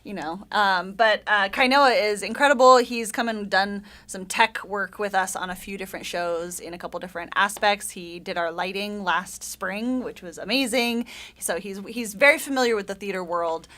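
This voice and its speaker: A woman's voice: mid-range voice, moderate pace, few pauses, fairly bright tone. A woman talking casually and enthusiastically as a theatre guest in a radio interview. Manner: enthusiastic, effusive. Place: radio studio.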